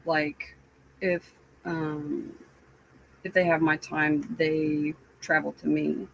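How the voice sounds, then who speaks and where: Slow, raspy, stuffy-voiced woman streamer. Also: low voice, flat delivery, slight background noise, poor audio. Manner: slow, rambling, many fillers. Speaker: live streamer. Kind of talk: casual chat with viewers.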